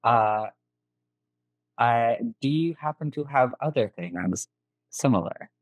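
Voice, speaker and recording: A man's voice: mid-range voice, lively intonation, slow, dry recording, dull audio. A man playing a tabletop game, giggly and self-mocking as he talks. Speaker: player. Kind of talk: casual tabletop play.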